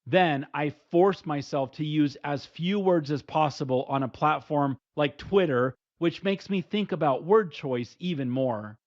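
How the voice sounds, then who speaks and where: Middle-aged male instructor, steady and plain, a bit high-pitched, with muffled lo-fi sound. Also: unhurried, few pauses. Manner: steady, plain, numbered advice. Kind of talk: scripted instructional talk. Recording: dull lo-fi recording.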